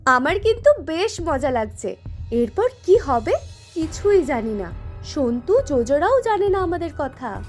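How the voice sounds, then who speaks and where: Very high-voiced plucky girl, Debolina. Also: very animated, measured pace, a little mellow, faint music behind. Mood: playful, curious. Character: plucky, devoted young girl, excitable and trusting. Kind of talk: Bengali audio story acting.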